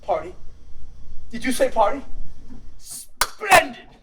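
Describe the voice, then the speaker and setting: An actor playing an eager, formal, old-fashioned English gentleman with stiff, elaborate phrasing and an English accent. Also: extremely high pitch, expressive, normal speed, distant mic, background noise. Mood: delighted.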